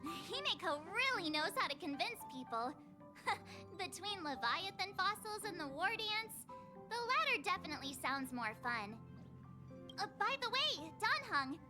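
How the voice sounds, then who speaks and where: Game teen traveller: cheerful, playful, very high and animated, over prominent music. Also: female voice, measured pace, exaggerated voice. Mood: cheerful, teasing. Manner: perky, playful, expressive. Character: bubbly, cheerful teenage girl traveller. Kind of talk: video game voice acting. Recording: music playing clearly behind the voice.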